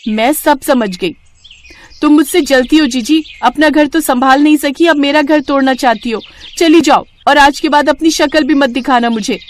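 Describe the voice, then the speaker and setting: A woman's voice: sits high, normal speed, voice pushed up, natural voice. A woman voicing a naive, loyal young village bride in melodramatic, emphatic story dialogue. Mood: indignant, hurt. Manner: emphatic, expressive.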